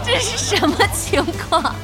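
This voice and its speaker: A woman's voice: very high-pitched, lively intonation, unhurried. An actress playing a feisty, bubbly young woman in an audio drama, speaking in a playful, sassy, exclamatory way. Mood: amused, playful. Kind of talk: audio drama acting, animated dialogue. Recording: loud music and crowd behind.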